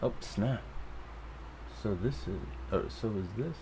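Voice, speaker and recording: A man's voice: on the low side, measured pace, gravelly. A man giving casual live commentary as he streams a video game. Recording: game audio and noise behind voice. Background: game sound, noise.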